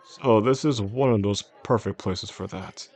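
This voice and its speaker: A man playing a charming, softly possessive boss in an intimate audio roleplay, speaking attentively and flirtatiously to the listener in an unhurried way. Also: lowish voice. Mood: warm, attentive. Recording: faint restaurant crowd behind the voice.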